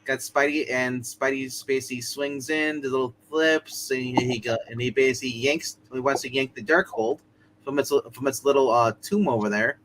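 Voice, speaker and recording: A man running a tabletop roleplay game speaks in a casual, halting narration. His voice sits at an ordinary pitch, with noticeable noise behind it. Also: a bit bright, low-quality recording. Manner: casual, halting, circling back as they narrate. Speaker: game master. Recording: clear background noise.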